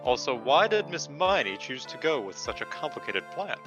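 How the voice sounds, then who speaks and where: A man voicing a slow, befuddled elderly courtroom judge in a comic fan dub. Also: medium pitch, big pitch swings, lightly acted. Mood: deadpan, ponderous. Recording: music under the voice.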